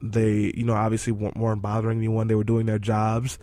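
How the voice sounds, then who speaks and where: A man with a hoarse voice co-hosting a talk radio show in a studio, joking with his co-host and then speaking seriously about the news. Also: on the low side, monotone, quick pace, warm tone, no room sound. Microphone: studio microphone.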